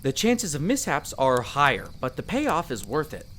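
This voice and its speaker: Ambient noise sits behind a man performing a friendly young male elf explorer in a roleplay audio drama. His voice is a little higher than average and swings expressively as he speaks. He is chatty and playful, explaining things patiently straight to the listener. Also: huge pitch swings, moderate pace, a bit bright. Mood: reassuring, playful. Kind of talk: in-character conversational roleplay addressed to the listener.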